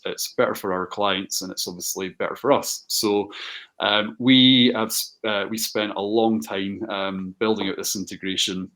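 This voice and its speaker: Low-voiced man giving an informal product presentation. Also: a bit bright. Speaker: company director presenting a product demo as guest.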